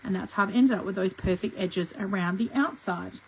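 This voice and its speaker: A middle-aged woman with a croaky voice from a lingering cough, teaching a live scrapbooking tutorial in a chatty way and clearing her throat often. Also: mid-range voice, a little fast, a little mellow, a little hiss or hum, poor audio. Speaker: scrapbooking instructor.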